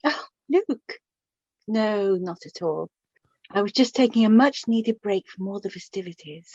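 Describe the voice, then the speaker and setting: A middle-aged woman playing a chatty, wistful widowed mother of the bride in a naturalistic radio play, speaking in a halting, reflective, conversational way. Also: mid-range voice, animated, a little mellow, husky, muffled recording. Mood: friendly, weary.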